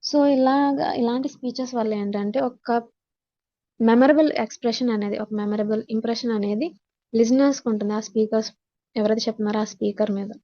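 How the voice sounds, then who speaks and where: Higher-voiced Telugu woman teacher, dull audio. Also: fairly even intonation, brisk, mellow. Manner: explanatory, switching between Telugu and English. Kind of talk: online class lecture, reading points in English then explaining.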